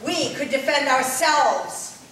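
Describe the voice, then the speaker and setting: A woman voicing a solemn villager in a puppet show, speaking in simple, measured short statements. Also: high-pitched, lively intonation, fairly bright tone, exaggerated voice. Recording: echoey hall, distant mic, faint noise.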